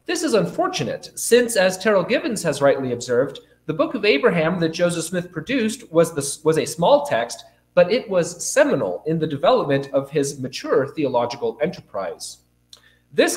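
Guy with a cold, kinda raspy, reads his conference paper, pitch jumps around a lot, echoey room. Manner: formal, measured academic delivery. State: has a cold and cough. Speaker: conference presenter. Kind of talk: reading an academic paper.

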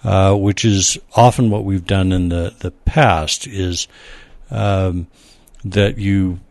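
A man who is a scholar and panel guest, explaining in a measured way with frequent fillers. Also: low-pitched. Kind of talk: panel discussion.

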